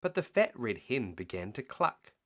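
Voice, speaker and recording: A man reading a children's bedtime story aloud in an expressive, measured, rhythmic way. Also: medium pitch.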